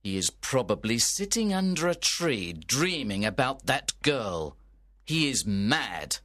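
A man voicing Mercutio, a boisterous young man who teases loudly and calls out in a raised, high voice. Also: sing-song, slow pace, dry recording, studio quality. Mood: teasing. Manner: loud, teasing, calls out.

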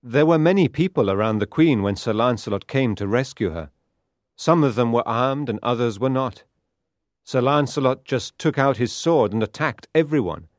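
An actor playing a sober, plain-speaking knight in a dramatised storybook, grave and measured. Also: male voice, mid-range voice, lively intonation, no echo, clean studio sound, lightly acted. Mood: explaining. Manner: grave, measured, matter-of-fact.

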